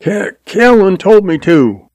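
A man's voice: sits high, animated, slow pace, darkish tone, no room sound, voice pushed up, exaggerated voice. A man voicing a defiant young teenage boy of the frontier, cheeky and rustic in his speech. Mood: frightened.

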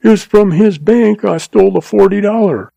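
A man's voice: high voice, normal speed, gravelly, mellow, no echo, pitched above the performer's own voice, heavily acted. A man voicing a cringing, terrified little male telegraph agent who quavers and blubbers as he pleads. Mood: pleading, terrified.

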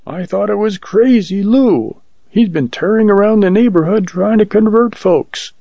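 Fretful, gossipy farm wife for a storybook, played by a man, raised pitch, dull close recording. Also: moderate pace, creaky, dark tone, no echo. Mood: anxious, fussing. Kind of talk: audiobook character voice.

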